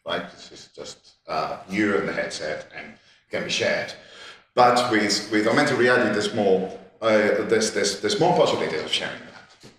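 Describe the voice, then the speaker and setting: A low-voiced man talks on a panel, often stumbling and repeating words while he thinks. He sits at a distance from the mic in a strongly echoing room with faint background noise. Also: a bit bright. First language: Catalan. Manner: halting, many restarts and repeated words. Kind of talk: panel discussion.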